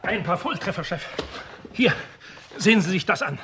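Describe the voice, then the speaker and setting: A talkative police sergeant in a German crime radio play. His voice is on the higher side, and he talks keenly with a slightly cheeky edge. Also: expressive, normal speed, noisy background. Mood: eager. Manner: keen, chatty, slightly cheeky. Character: eager, talkative police sergeant seeking promotion.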